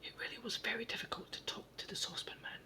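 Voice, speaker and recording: A reader narrating a children's storybook entirely in a soft, steady whisper. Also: quick pace, recorded from a distance.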